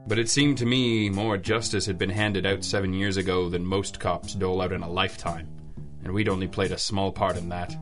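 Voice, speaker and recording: A man's voice: on the low side, fairly bright tone, natural voice. A man playing a world-weary, blunt private eye in an old-school radio detective drama, terse and wry. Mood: reflective.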